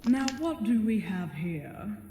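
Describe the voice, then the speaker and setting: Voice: medium pitch, sing-song, takes it slowly, mellow. Actor voicing giant spider monster, sly, mock-courteous, theatrical, cavernous echo, noisy ambience. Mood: amused, mocking. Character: giant talking spider monster, genteel, mockingly polite, sly. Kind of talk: audio drama acting.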